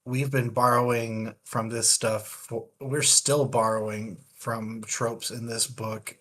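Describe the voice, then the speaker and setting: A man's voice: mid-range voice, flat delivery, slow, a bit bright, a little hiss or hum. A man co-hosting a casual book discussion, thinking aloud in long, winding points. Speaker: podcast co-host.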